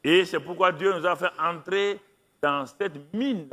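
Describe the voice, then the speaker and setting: A man preaching a sermon, stressing key phrases and repeating them slowly while an interpreter follows him. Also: high-pitched, a bit bright. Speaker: pastor. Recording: long hall reverb.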